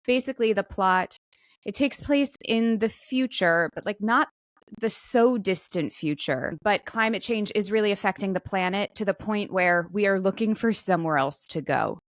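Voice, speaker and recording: A woman's voice: fairly low pitch, no echo. A woman co-hosting a podcast in casual, conversational chat, with plenty of "like" and trailing asides. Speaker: podcast co-host; actor.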